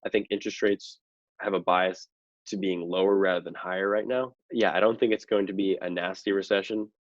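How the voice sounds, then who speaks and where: A man's voice: low voice, steady tone, fairly quick, no room sound, highs cut off. A man answering conversationally as an interview guest, explaining things in an analytical way. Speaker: podcast guest, real estate investor.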